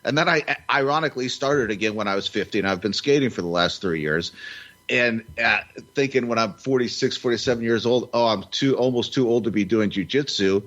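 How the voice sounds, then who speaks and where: A man's voice: medium pitch, brisk, fairly bright tone. Earnest man in his fifties, muffled. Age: 50s. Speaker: podcast co-host. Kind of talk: casual chat. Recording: dull lo-fi sound.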